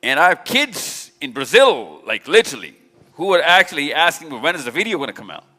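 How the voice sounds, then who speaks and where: A man's voice: a little high, big pitch swings, a little fast, bright, forceful tone. A man speaks live to an audience, direct and teasing, often repeating himself. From Pakistan. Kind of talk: live talk with audience interaction. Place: large event hall. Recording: long hall reverb at a live event.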